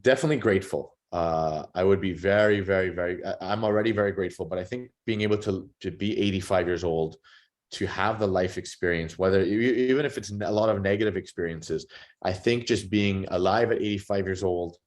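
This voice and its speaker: A man in his thirties answering interview questions in a relaxed, reflective way, often repeating words as he gathers his thoughts. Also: deep voice. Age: 30s. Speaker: podcast guest.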